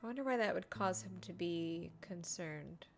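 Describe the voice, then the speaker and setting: A woman's voice: on the low side, expressive, normal speed, gravelly, a little hiss or hum. A woman streaming a game, making casual, musing reactions and comments.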